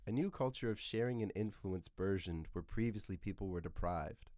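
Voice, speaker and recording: A man's voice: lowish voice, normal speed, mellow, dry recording, clean studio sound. A man hosting a podcast, reading scripted narration in an earnest, literary way. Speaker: podcast host.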